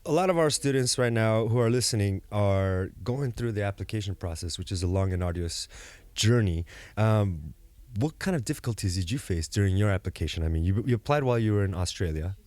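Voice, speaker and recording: Upbeat low-voiced male podcast host. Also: fairly quick, darkish tone, no room sound. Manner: upbeat and chatty, loose phrasing with fillers. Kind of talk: casual podcast hosting and interviewing. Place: business school campus during a conference.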